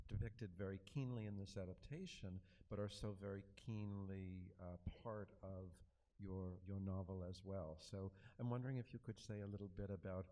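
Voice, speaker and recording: Academic male moderator, very low mellow voice, heard from a distance in a theater. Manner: hedging, scholarly, with fillers. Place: cinema auditorium.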